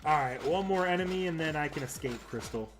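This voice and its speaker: A man giving casual gameplay commentary as a streamer, rambling and often halting to correct himself mid-sentence. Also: fairly high pitch, expressive. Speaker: game streamer and host. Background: music.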